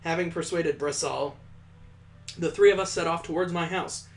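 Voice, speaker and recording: A man's voice: fairly high pitch, steady tone, moderate pace. A man reading a visual novel aloud as a gamer, mixing narration with casual asides.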